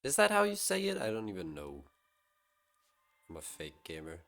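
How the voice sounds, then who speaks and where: A man's voice: on the low side, wildly swooping pitch, moderate pace, a bit bright, slight background noise. A man streaming a game live, chatting casually to his viewers and musing aloud to himself. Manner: talks to chat and muses aloud to himself.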